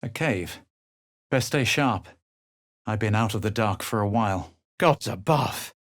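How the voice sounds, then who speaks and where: An actor playing a smug, self-assured male adventurer in a fantasy video game, measured and dryly dramatic. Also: on the low side, animated, dry recording, studio quality. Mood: wry, composed. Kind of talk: video game character lines and first-person narration.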